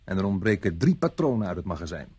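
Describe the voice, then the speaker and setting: A man's voice: deep voice, big pitch swings, quick pace, darkish tone. A man acting in a radio play as a dutiful traffic policeman giving an official, precise, slightly hesitant report.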